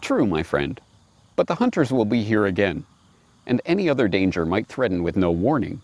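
A man's voice: on the low side, sing-song, moderate pace, a little mellow, no room sound. A man voicing a sensible, wary wild boar giving matter-of-fact advice in a storybook fable. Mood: calm, sensible.

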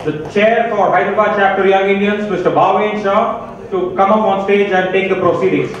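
A man's voice: high voice, steady tone, normal speed, lo-fi sound, a crowd in the background. A man acting as emcee, making a formal stage announcement. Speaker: event emcee. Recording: echoey distant hall audio.

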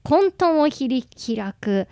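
High, animated woman radio host. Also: slow pace. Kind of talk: lively radio interview chat.

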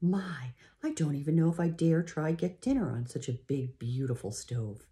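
Gentle pioneer mother, as a reader puts her on. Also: mid-range voice, normal speed, pitched above the performer's own voice, lightly acted. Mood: overwhelmed.

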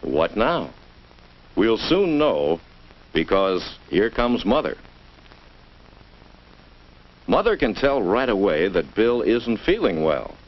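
A man with an American accent narrating a 1950s instructional film in a measured way, as if speaking directly to a child. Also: fairly low pitch, sing-song. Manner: measured, addresses the child directly with rhetorical questions. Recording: dull, noisy vintage educational film soundtrack.

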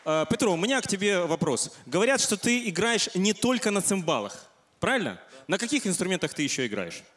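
High-pitched, animated male show host. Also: a little fast. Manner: showman-like, emphatic. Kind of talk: live TV stage presenting. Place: large TV studio stage. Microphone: handheld microphone. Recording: echoey stage, loud crowd noise.

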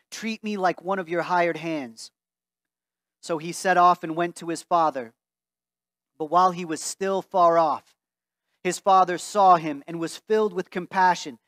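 High-voiced pastor reading scripture. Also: male voice, fairly bright tone, no echo, studio quality. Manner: earnest, reads aloud from a Bible while standing. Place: church sanctuary before a stained-glass wall.

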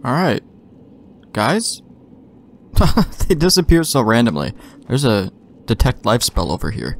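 A man's voice: medium pitch, wildly swooping pitch, unhurried. A man doing casual gameplay commentary, thinking aloud in informal, mildly profane language. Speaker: let's play host.

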